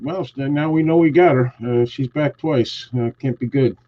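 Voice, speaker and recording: A man's voice: mid-range voice, normal speed, muffled recording. A man hosting a casual live stream, greeting viewers and teasing his co-hosts in a joking, rambling way. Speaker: host.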